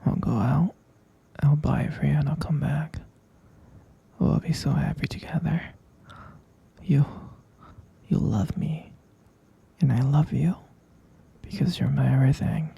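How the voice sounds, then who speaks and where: A dark, hushed male yandere boyfriend, played by a man in an intimate ASMR roleplay, very gravelly and breathy. He coaxes slowly and possessively, doting on his captive with soft menace. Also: fairly high pitch, heavily acted. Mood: eager, doting. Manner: coaxing, repetitive, pet names, slow lingering delivery.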